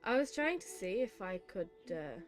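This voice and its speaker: A woman's voice: medium pitch, very animated, normal speed, soft background music. A British woman giving casual, sweary, exasperated commentary as she plays a video game. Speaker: video game streamer playing and reacting. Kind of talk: casual chat, let's-play commentary.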